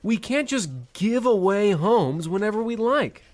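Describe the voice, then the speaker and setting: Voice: medium pitch, lively intonation, unhurried, a little mellow, lightly acted. A performer in an old-time radio comedy playing a callous, self-absorbed banker who talks in a pompous, patronizing, sarcastic way. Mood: pompous, condescending.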